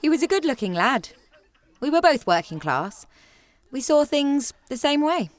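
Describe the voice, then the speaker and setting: A woman's voice: high voice, big pitch swings, normal speed. An actress playing a frank, self-assured young theatre woman with a dry, matter-of-fact manner in a radio play. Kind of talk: radio play acting and narration.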